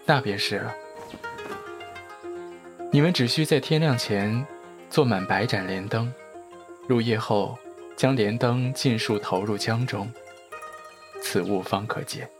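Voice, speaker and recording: A man playing a calm, authoritative Taoist priest of ancient China in a period audio drama, with composed, formal speech. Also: lowish voice, slow, long pauses, music in the background. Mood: grave, instructing.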